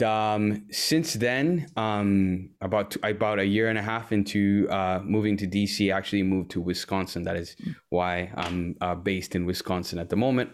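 A young man from Uganda, a musician guest, talking casually in an interview with many pauses and fillers. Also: deep voice. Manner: easygoing, rambling, frequent um and uh.

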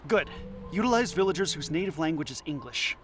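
A man's voice: a little high, lively intonation, brisk, a crowd in the background, lightly acted. An actor playing a scatterbrained, anxious male anthropologist in an audio drama, stammering and hesitant. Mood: businesslike.

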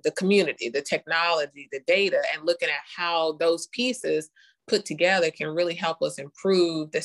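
A woman's voice: low-pitched, a bit bright. A woman from North Carolina, born in Costa Rica, explaining her work as an interview guest and often checking in with "right?". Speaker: interview guest, nurse informaticist and health tech founder.

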